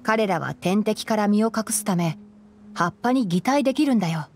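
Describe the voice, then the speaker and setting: A woman playing a calm, knowledgeable young male forest ranger in an anime game, explaining things in a composed, gently teasing way. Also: mid-range voice, normal speed, a little hiss or hum, natural voice. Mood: calm, instructive. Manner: explanatory, composed, lightly dry. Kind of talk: Japanese anime game voice acting.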